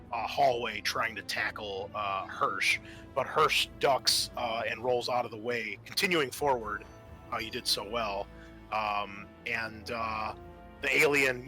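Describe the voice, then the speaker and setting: Guy game mastering a tabletop rpg, crisp bright voice, kinda ums his way through the narration. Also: mid-range voice. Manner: halting, improvised narration with many fillers.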